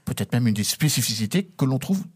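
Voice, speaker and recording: A man's voice: mid-range voice, very animated, quick pace, darkish tone, slightly rough. Formal male interviewer. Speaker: interviewer, host. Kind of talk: radio interview.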